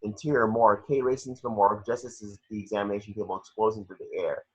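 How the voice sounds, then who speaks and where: A man's voice: lowish voice, fairly even intonation, fast, husky. A man narrating scripted action descriptions in an amateur table read, on a lo-fi home recording.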